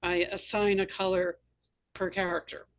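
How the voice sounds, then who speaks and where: Low-pitched woman hosting a literary discussion. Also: little pitch movement, normal speed. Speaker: host and novelist.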